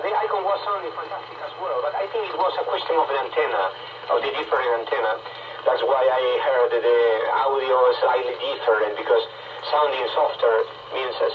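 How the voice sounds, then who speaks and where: A man, a native Spanish speaker, talking haltingly in a casual explanatory way as a radio operator, heard over shortwave radio. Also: mid-range voice. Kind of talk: casual ham radio chat. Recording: noisy, dull, distant radio reception. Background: loud radio static.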